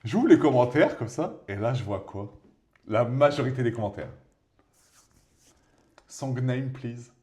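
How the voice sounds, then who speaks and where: A man's voice: medium pitch, a little slow, long pauses. A man in his thirties co-hosting a podcast, chatting casually and telling jokey stories while painting. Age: 30s. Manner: lively, jokey, animated storytelling. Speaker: podcast co-host.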